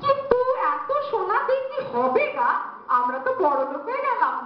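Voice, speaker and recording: A woman playing an excitable, greedy, naive village wife in a comic folk drama, gushing and chattering. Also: very high-pitched, normal speed. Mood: giddy. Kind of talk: Bengali comic domestic stage drama. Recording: very echoey, distant, dull, faintly noisy.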